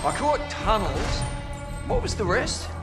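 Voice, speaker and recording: An actor playing a cheeky, stalling captive soldier in a war game. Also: male voice, high voice, huge pitch swings, unhurried, over loud music, full character voice. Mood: flippant. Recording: loud dramatic score behind.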